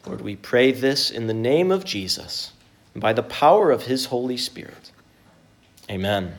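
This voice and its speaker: A man preaching a sermon, reading scripture, praying and then teaching in an earnest, measured way with pauses. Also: medium pitch, sing-song. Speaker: pastor.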